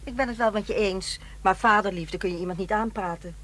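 Woman acting a no-nonsense ex-wife, middle aged, brisk n dismissive, medium pitch, radio play w faint noise. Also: fairly bright tone, close to an everyday voice. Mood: matter-of-fact. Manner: matter-of-fact, dismissive.

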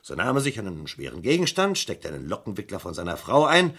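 An actor playing a suspicious, methodical police detective in a German crime radio play, speaking deliberately and with pointed emphasis. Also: male voice, on the low side, huge pitch swings, fairly bright tone, husky, heavily acted. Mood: deducing, emphatic. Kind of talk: German crime radio play acting, mid to late 20th century.

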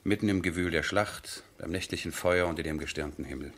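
Lovestruck nobleman, husky, low-pitched, impassioned, theatrical, headstrong, 1970s radio play. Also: fairly quick. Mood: tender, confiding. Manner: impassioned, theatrical, swinging from hushed to ringing. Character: passionate, headstrong nobleman obsessed with his love. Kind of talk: 1970s German radio drama acting.

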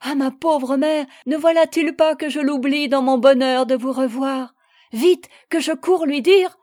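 A woman voicing an affectionate, excitable young daughter, eager and gushing, in a storybook reading. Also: sits high, slow pace. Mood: excited.

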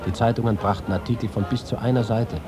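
An ageing man home from exile, played by an actor, speaks as a proud, nostalgic reporter in a radio feature. His delivery is expressive and literary, with music clearly behind him. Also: fairly low pitch, fast talker, natural voice. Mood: proud, assured. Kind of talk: radio feature dramatic monologue.

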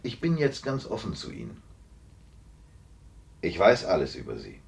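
An actor in a radio play playing an authoritative police investigator, speaking in measured, deliberate phrases. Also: male voice, sits low, wildly swooping pitch, gravelly, recorded from a distance, full character voice. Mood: controlled, frank. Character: calm, authoritative police investigator confronting a suspect he freed. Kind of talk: German radio play acting.

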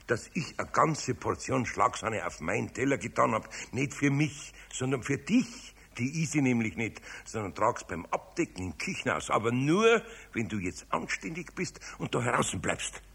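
A kindly older Bavarian craftsman in a 1970s children's radio play. He mutters evasively in a very husky, creaky voice that is bright and moves up and down in a homely, placating way. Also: mid-range voice, very animated, normal speed, few pauses, heavily acted. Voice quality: gravelly, husky.

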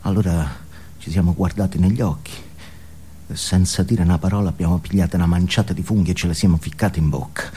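An actor playing a boastful, foul-mouthed biker burnout in a theatrical comic monologue, with a southern Italian, Neapolitan-tinged accent. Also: male voice, low voice, fairly even intonation, fast talker, dark tone, husky. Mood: brooding, nostalgic. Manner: ranting, profane, swings between outbursts and confiding storytelling. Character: foul-mouthed, hedonistic middle-aged male biker burnout, volatile and boastful. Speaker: stage actor.

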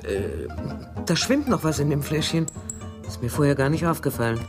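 Radio play role: young female agent, wary, defiant, lively pitch swings, music underneath. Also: normal speed. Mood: probing. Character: wary young woman agent, failed actress, questioning and defiant. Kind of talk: radio drama acting.

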